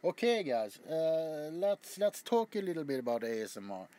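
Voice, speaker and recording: A man's voice: on the high side, sing-song, moderate pace, mellow. A man chatting casually to his viewers as a video host, rambling with frequent stammers, restarts and casual swearing. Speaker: ASMR YouTuber.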